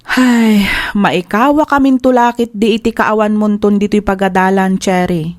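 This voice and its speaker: A woman's voice: medium pitch, steady tone, normal speed, a little mellow, dry recording, studio quality, lightly acted. A Filipino woman acting in an audio drama as a supportive, teasing best friend who gives advice.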